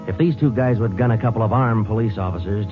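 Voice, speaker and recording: A man's voice: fairly low pitch, quick pace, lightly acted. An actor playing a terse police detective who narrates his case in a dry, matter-of-fact way in a 1950s radio crime drama. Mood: deadpan. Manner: deadpan, clipped, matter-of-fact. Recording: muffled old radio recording with music.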